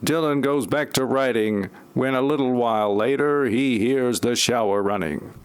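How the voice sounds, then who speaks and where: A man's voice: mid-range voice, normal speed, clear, resonant tone, no room sound. A man narrating a parody audio play from a script, with wry asides.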